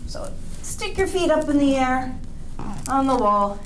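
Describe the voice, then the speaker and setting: A woman with a fairly high voice reads and instructs for young children at bedtime. Her delivery is playful and sing-song, with very lively rises and falls. She is recorded indoors from a little way off, with some noise in the background. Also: measured pace, poor audio. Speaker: children's storytime host leading bedtime yoga. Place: indoors, small draped space, lying on the floor.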